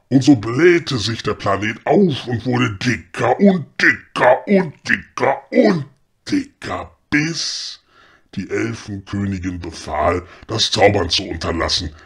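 A man playing a storyteller who reads a mock-solemn fairy tale deliberately and with dramatic relish. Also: lowish voice, a bit bright, slightly rough, heavily acted.

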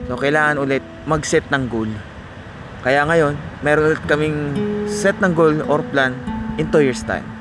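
A man's voice: a little high, measured pace, music in the background. A young Filipino man vlogging outdoors at night, talking in an earnest, reflective way with plenty of fillers, close to a handheld camera. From Philippines. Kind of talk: casual chat. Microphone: camera held close in selfie framing.

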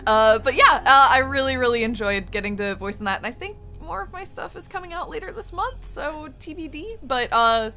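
A woman's voice: big pitch swings, moderate pace, pushed and bright. A young woman streaming a game, chatting casually, laughing often and trailing off into restarts. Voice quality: low gravelly voice. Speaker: streamer and voice actor. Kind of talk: casual chat while gaming.

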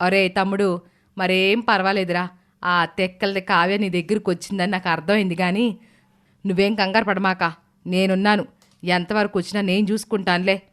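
A woman's voice: mid-range voice, flat delivery, a little fast, a bit bright, natural voice. Older mother-in-law for a story drama, female actor: motherly, protective, earnest, reassuring. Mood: firm, reassuring.

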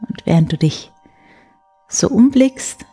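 A woman in her forties hosting a bedtime show, guiding the listener gently and soothingly with a soft, breathy voice. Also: mid-range voice, lively intonation, takes it slowly. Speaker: host of a bedtime story show. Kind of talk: guided sleep meditation, soft bedtime storytelling. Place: indoor room beside a wood stove. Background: soft music.

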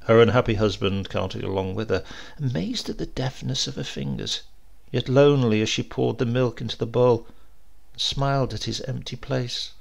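A man reading a literary supernatural tale aloud in a measured, expressive storytelling manner. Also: mid-range voice, gravelly. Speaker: story reader.

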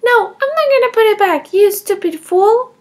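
A woman doing a bratty little kindergarten girl, high and gravelly. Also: moderate pace. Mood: defiant. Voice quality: high childish whine. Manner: sassy and stubborn. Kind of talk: comedy skit role-play.

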